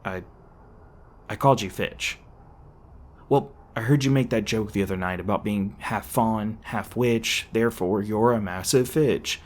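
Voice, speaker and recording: A man acts out an edgy monster hunter in an audio roleplay. His voice sits fairly low, and his talk is sarcastic and brash. Underneath he is protective and soft-hearted, stumbling over words when flustered. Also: unhurried, natural voice. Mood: shy, tender. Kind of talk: ASMR roleplay voice acting.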